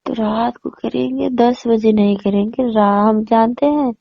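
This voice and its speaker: Woman acting newlywed housewife, lonely and flirty, coaxing colloquial talk, fairly high voice, muffled phone recording. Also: fairly even intonation, unhurried, vocal fry, no room sound. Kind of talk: casual phone conversation skit.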